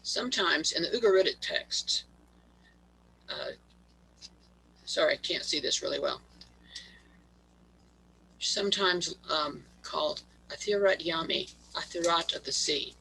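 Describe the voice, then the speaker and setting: A man giving a prepared talk over a video call, reading haltingly and stumbling on words, his voice worn from allergies. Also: a little high, big pitch swings, gravelly, sharp tone, slight background noise, poor audio. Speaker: guest presenter.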